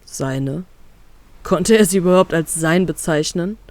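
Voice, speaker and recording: A woman voicing a young male pirate captain in a hushed, lowered inner monologue full of guilt and curses. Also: a little slow, heavy reverb, close to an everyday voice. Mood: guilt-ridden. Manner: low hushed inner monologue, swearing in frustration.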